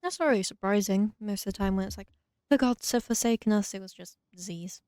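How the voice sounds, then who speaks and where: A woman's voice: mid-range voice, brisk, a little mellow, smooth, dry recording, clean studio sound. A woman giving casual, wry, joking commentary as she plays through a video game. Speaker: let's player. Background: game music.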